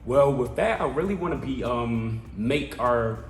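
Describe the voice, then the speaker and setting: Young man from South Carolina, council candidate, earnest and measured with filled pauses; echoey room. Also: mid-range voice, lively intonation, creaky, slight background noise. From Columbia, South Carolina. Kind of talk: interview answers.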